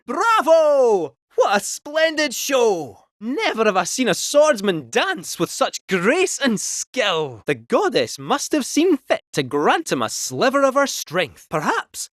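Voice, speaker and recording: An actor playing a theatrical, cheeky robot cat fortune teller with a Scottish accent, in a video game. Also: male voice, extremely high pitch, slow pace, few pauses, no room sound, studio quality, full character voice. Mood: grandly praising. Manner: grand theatrical storytelling, lively swoops.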